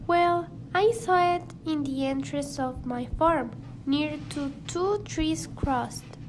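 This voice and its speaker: Worried farmer lady, played by a woman: very high voice, slow delivery, amateur radio play, noisy recording. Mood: anxious pleading. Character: worried farmer lady frightened for her killed goats. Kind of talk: amateur radio drama acting.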